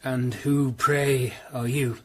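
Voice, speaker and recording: An English man in his forties playing a thoughtful, melancholy Tudor lawyer who narrates a radio drama in a measured, literate way. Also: mid-range voice, little pitch movement, fairly bright tone, breathy edge, dry recording. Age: 40s. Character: melancholy middle-aged hunchbacked Tudor lawyer, humane and thoughtful. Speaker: narrator and lead actor.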